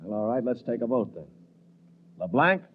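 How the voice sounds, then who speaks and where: Cynical leading man: mid-pitched, dry and sneering, old-time radio sound. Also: very animated, normal speed, husky. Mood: sardonic. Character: cynical, sardonic space passenger and narrator. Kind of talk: 1950s radio drama acting and first-person narration. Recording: tinny, noisy old radio recording.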